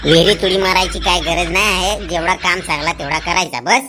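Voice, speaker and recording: A woman's voice: lowish voice, fairly even intonation, fairly quick, a loud crowd, natural voice. A woman voicing a bossy, matter-of-fact young female worker in an amateur comedy cartoon, with plain colloquial talk. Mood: instructing. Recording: loud music under the voice.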